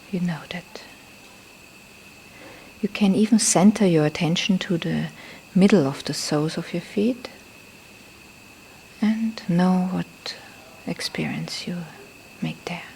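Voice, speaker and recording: A woman teaches meditation in non-native English, with a lowish voice that creaks at the edges. She speaks very slowly and gently, often softening almost to a breathy whisper. She leaves pauses between short phrases, over faint background noise. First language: not a native English speaker. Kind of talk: guided meditation instruction. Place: temple in Thailand. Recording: faint background noise, live field recording.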